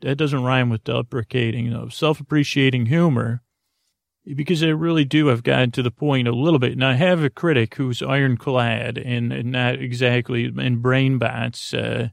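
Man, gravelly voice, rambling bedtime talk, stops and restarts, dead-dry close sound. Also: mid-range voice, fairly even intonation, normal speed, dry recording. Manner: meandering, self-interrupting, soft-spoken with frequent restarts. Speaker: sleep podcast host.

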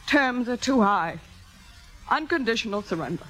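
A proud, temperamental stage diva in an old radio play. Her voice is very high and forceful. She delivers biting sarcasm with grand theatrical flair. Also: animated, moderate pace, faint music behind. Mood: bitter. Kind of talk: 1950s radio drama acting. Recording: vintage 1950s radio broadcast.

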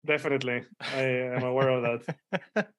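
A man's voice: mid-range voice, little pitch movement, fairly quick, fairly bright tone. A man in his forties from Mexico and Israel with a Spanish accent, talking casually as a podcast guest. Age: 40s. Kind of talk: casual interview conversation.

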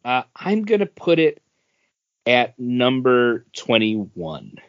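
A man's voice: mid-range voice, wildly swooping pitch, takes it slowly, no room sound, muffled recording. Casually rambling man, close dry sound. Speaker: podcast co-host. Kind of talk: casual opinion chat about movie rankings.

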